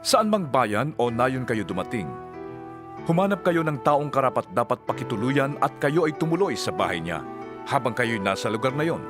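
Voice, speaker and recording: A man's voice: medium pitch, big pitch swings, music in the background, lightly acted. A man playing an earnest male religious teacher solemnly instructing his disciples in a dramatized scripture reading. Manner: solemn, measured, exhortatory.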